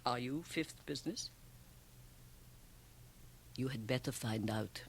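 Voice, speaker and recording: Shrewd woman, low husky voice, blunt and theatrical, radio play. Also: slow, slight background noise, exaggerated voice. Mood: explaining, knowing. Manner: emphatic, theatrical, probing. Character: shrewd, worldly, provocative Swiss woman, blunt and teasing. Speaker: radio drama actress. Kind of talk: radio drama acting.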